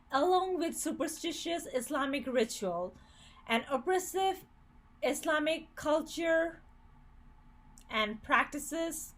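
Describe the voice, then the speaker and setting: A woman's voice: sits high, fairly bright tone. A woman from Bangladesh giving an earnest, critical opinion commentary, with occasional stumbles and restarts.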